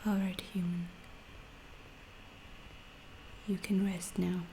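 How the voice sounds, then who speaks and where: A woman voicing a calm, gentle alien researcher in an ASMR roleplay, soft and breathy, often whispering, with formal, measured phrasing and detached curiosity. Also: fairly low pitch. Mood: soothing, formal. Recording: clearly noisy background hiss.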